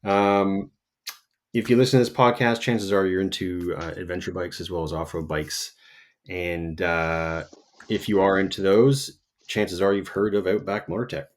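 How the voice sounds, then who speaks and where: Chill podcast host guy, really low voice, easygoing and upbeat. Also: fairly even intonation, normal speed. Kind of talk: casual podcast interview.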